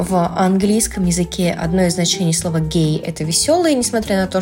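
A young woman from Russia, a translator, explaining things in a casual, playful vlog with slangy asides and animated emphasis on key words. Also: on the low side. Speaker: translator and Italian language blogger. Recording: music playing loudly under the voice. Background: background music.

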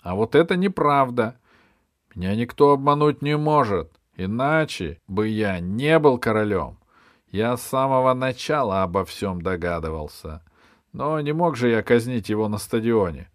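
A man's voice: medium pitch, expressive, moderate pace, no echo, studio quality, pitched above the performer's own voice, close to an everyday voice. Man acting out a pompous, fussy storybook king. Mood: smug, declaiming. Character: pompous, fussy, capricious old-world king.

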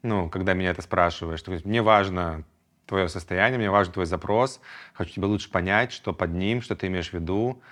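A man's voice: sits low, brisk, a bit bright. A man in his thirties hosting a solo podcast, talking reflectively and acting out his inner voices in quoted asides. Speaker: podcast host.

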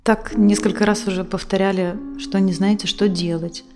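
A woman's voice: medium pitch, steady tone, normal speed, lightly acted. A woman in her thirties playing an attentive psychotherapist in an audio drama, speaking naturally and with care. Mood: attentive, probing. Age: 30s. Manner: attentive, gently probing. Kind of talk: therapy dialogue in an audio drama. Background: music.

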